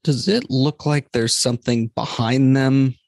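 A man with a medium-pitched voice chats casually as a podcast guest. The recording is dry and free of room sound. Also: fairly even intonation, unhurried, vocal fry, darkish tone, dry recording. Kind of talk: casual chat.

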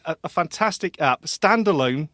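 A man's voice: on the high side, expressive, moderate pace, a bit bright, dry recording, clean studio sound. A man co-hosting a tech podcast in casual chat, chatty and laughing often, drifting into tangents. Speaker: podcast co-host.

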